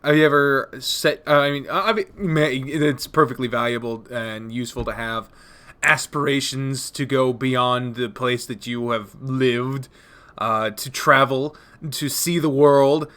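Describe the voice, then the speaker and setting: A man hosting a casual interview, excitable and loose, swearing freely and laughing often. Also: medium pitch, unhurried, smooth. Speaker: interview host.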